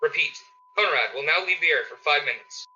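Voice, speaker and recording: In a dull, band-limited recording, an actor plays a male civil defense announcer with a sharp, very bright voice. He rattles out official instructions urgently, clipped and repetitive, as in a radio play. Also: fairly high pitch, expressive, a little fast. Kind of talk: emergency broadcast announcement.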